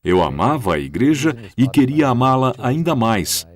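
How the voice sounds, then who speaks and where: A man with a Brazilian Portuguese accent voicing an interviewee's answers in a dubbed interview, speaking in a measured, reflective way. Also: mid-range voice, big pitch swings. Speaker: interviewee voice-over.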